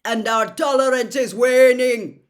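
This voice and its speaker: A woman voicing a proud male centaur elder who speaks in a calm, formal, grave way. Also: fairly low pitch, slow pace. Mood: stern.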